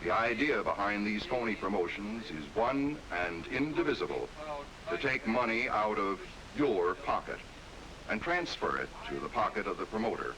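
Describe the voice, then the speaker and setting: A man's voice: lowish voice, lively intonation, normal speed, crowd noise, poor audio. An American man reading scripted 1960s documentary narration with an emphatic, authoritative delivery on an old film soundtrack.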